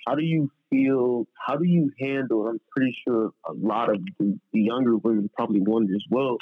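A man's voice: mid-range voice, a little fast, dry recording. Man on thin, phone-like audio. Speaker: podcast co-host, interviewer. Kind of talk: casual podcast conversation.

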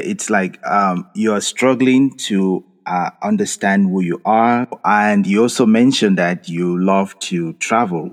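A man interviewing conversationally, feeling out his questions in thoughtful, hesitant phrasing. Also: low-pitched. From Africa. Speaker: interviewer, host. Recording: long reverberant echo.

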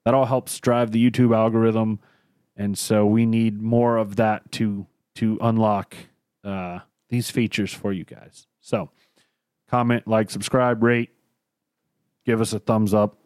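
A man's voice: on the low side, flat delivery, measured pace, darkish tone, smooth, no echo, clean studio sound. A man co-hosting a casual podcast, rambling and losing his train of thought, with a lingering cough and tickly throat. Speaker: podcast co-host. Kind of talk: casual chat.

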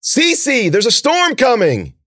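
A man's voice: extremely high pitch, big pitch swings, moderate pace, gravelly, husky, no echo, studio quality, pitched above the performer's own voice, full character voice. A man voicing a panicked, exasperated young man in an audiobook story, with an urgent, incredulous, sweary delivery. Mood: alarmed shouting.

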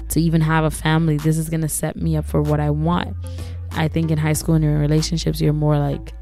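A young woman in her twenties hosting a solo podcast, husky and raspy from losing her voice, chatting casually and giving advice. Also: sits low, mellow. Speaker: podcast host.

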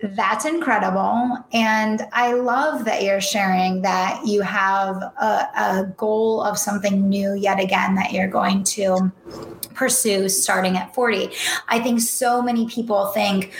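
A woman's voice: medium pitch, steady tone, a little slow. Enthusiastic woman host in an echoey room. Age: 30s. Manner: enthusiastic, affirming, frequent backchannel agreement. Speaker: podcast host. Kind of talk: interview hosting. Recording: echoey room with faint noise.

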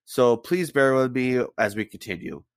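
A man's voice: mid-range voice, normal speed, clean tone, no echo. A man with a head cold hosting a casual podcast, rambling through a story and stumbling over names as he swears offhandedly. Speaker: podcast host.

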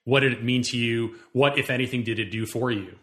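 A man hosts the conversation, framing his questions with care. His speech is articulate and reflective, with a steady, mid-range voice. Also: medium pitch, a little fast. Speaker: interviewer. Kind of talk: thoughtful interview questions.

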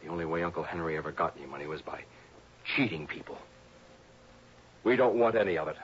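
An actor playing an earnest, polite young divinity student in a 1960s radio drama, deferential and hesitant. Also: male voice, low-pitched, animated, fast. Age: young adult. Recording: muffled, faintly noisy old radio recording.

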